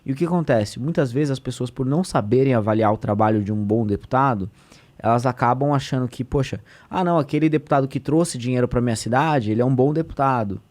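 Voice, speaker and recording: A man's voice: mid-range voice, fast talker, a little mellow. A man, a state deputy talking as a podcast guest, explaining in an earnest, conversational way with many fillers and restarts.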